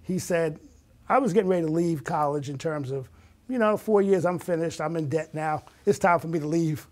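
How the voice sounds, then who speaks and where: A man in his sixties with a fairly high voice speaks as an interview guest. He reminisces conversationally, telling his story in an animated way and slipping into remembered dialogue. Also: fairly quick. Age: 60s.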